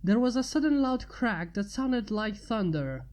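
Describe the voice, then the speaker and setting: A woman's voice: fairly high pitch, big pitch swings, a little slow, a little mellow. A woman narrating fan fiction as a story reader.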